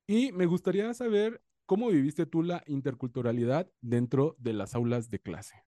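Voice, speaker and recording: A man's voice: on the high side, moderate pace, noisy background. A man from the Guerrero coast hosting an interview podcast, friendly and effusive with his guest. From Mexico, Guerrero coast. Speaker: podcast host.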